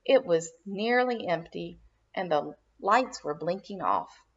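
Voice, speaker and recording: A woman narrating a children's storybook in an expressive, dramatic read-aloud style for young listeners. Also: fairly low pitch, takes it slowly, husky, lo-fi sound. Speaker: children's minister reading a storybook.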